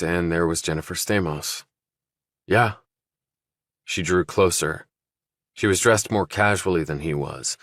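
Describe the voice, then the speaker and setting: Male audiobook narrator for thriller fiction. Extremely low pitch, dry recording. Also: fairly bright tone, no echo.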